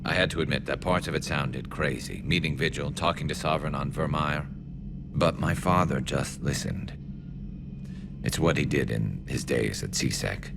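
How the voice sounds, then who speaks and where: An actor playing a seasoned, loyal alien military officer in a video game, gravelly and measured, with dry understatement. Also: male voice, extremely low pitch, heavy background noise. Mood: reflective. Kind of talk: video game dialogue acting. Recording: voice over loud ship ambience.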